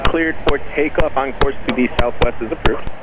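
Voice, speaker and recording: A man working as an air traffic tower controller, giving clipped routine clearances over aviation radio. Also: medium pitch, normal speed.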